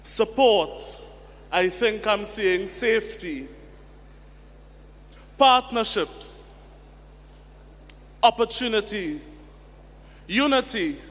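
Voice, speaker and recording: Male moderator, high voice, slow prompting delivery, hall reverb. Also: expressive, a bit bright. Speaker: session chair. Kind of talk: moderating a youth panel. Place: large conference hall.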